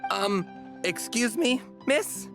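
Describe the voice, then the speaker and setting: A woman voicing a halting, unsure, noncommittal office clerk in a video game, with music behind her. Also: fairly high pitch, lively intonation. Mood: hesitant. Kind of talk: English video game character acting.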